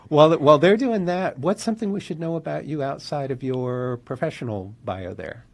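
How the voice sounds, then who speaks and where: A man's voice: on the high side, a little fast, gravelly. A man moderating a live webinar, introducing the speaker, running a poll and asking casual questions with "uh" fillers. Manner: friendly interviewer with "uh" fillers.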